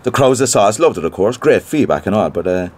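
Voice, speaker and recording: A man's voice: fairly low pitch, expressive, fast. An actor playing a gossipy, self-important small-time theatre man with an Irish Cork accent, chatty and boastful in a naturalistic audio drama.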